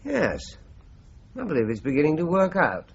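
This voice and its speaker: An actor playing a pondering, deliberate police inspector in a 1980s radio drama, ruminating slowly. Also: male voice, a little high, wildly swooping pitch, creaky, heavily acted. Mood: musing. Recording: dull, noisy 1980s radio recording.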